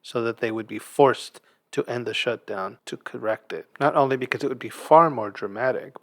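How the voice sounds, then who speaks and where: A man's voice: mid-range voice, vocal fry, a bit bright, dry recording. A man hosting a commentary show, reading scripted opinion in an earnest, emphatic manner.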